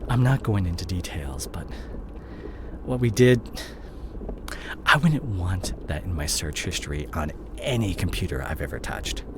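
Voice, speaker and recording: A man acting in an audio roleplay as a guilt-ridden disgraced CEO boyfriend, speaking to his partner in a halting, emotional way. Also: low-pitched, animated. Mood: ashamed confessing. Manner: halting, emotional, repeats and breaks off words. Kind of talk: dramatic audio roleplay monologue addressed to the listener. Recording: clear vehicle noise behind the voice. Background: car ambience.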